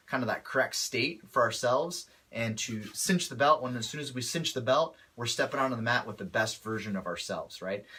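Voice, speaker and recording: A man's voice: medium pitch, pushed and bright, slightly rough. A man coaching other instructors in a casual, upbeat way, his voice a little off from a cold and often checking in with his listeners. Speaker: martial arts instructor. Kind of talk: casual instructional talk to camera.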